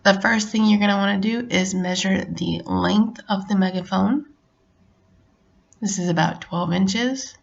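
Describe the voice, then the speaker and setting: A middle-aged woman narrating a casual how-to as a craft tutorial host, explaining step by step in a relaxed way. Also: mid-range voice, a little slow, vocal fry, highs cut off.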